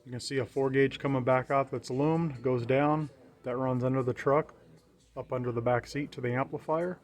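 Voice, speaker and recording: A man talks through his handiwork like a casual vlogger. His delivery is plainspoken and matter-of-fact, at a medium pitch. He records himself on a handheld camera around a parked truck. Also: fairly even intonation, moderate pace. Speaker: vlogger showing a vehicle radio installation. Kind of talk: casual hands-on walkthrough narration.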